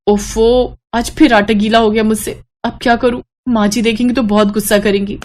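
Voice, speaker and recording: A woman voicing a kind, patient young daughter-in-law in a cartoon story, gentle and encouraging. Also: fairly high pitch, flat delivery, moderate pace, slight background noise, natural voice. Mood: fretful, grateful. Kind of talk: Hindi animated moral-story voice acting.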